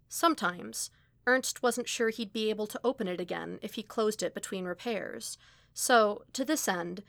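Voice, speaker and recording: A woman's voice: medium pitch, vocal fry, a bit bright, no room sound. Woman reading a story, dry close sound. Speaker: podfic reader. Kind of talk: scripted narration of a fan story.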